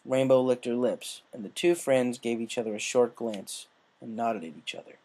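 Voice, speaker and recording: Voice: medium pitch, steady tone, normal speed, warm tone, slight background noise. A narrator reading fan fiction aloud as scripted storytelling. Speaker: story reader.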